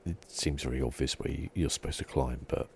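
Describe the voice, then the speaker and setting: A soft-spoken man giving unhurried, understated ASMR commentary on a video game, often slipping into a whisper. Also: a bass voice, a little hiss or hum. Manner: unhurried, understated musing to himself. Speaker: gaming channel host.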